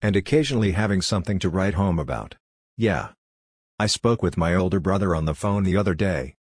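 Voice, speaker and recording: A man's voice: low voice, animated, fairly quick, a little mellow, slightly rough, dry recording, clean studio sound. An older man from Hungary, a native Hungarian speaker, giving a blunt, lecturing monologue as a workshop leader. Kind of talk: scripted monologue.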